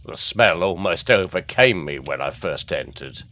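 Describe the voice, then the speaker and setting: A man playing a courteous, methodical, seasoned police detective in a gothic audio drama, deliberate and deferential. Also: low voice, lively intonation, bright, forceful tone, exaggerated voice. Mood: measured, curious. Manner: deliberate, deferential, slightly hesitant.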